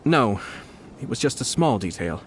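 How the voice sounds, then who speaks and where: An actor playing a composed, polite young nobleman in a video game, shrewd and quietly mischievous, speaking in measured phrases. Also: mid-range voice, big pitch swings, slight background noise, lightly acted. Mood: serious, thoughtful. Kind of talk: video game character acting.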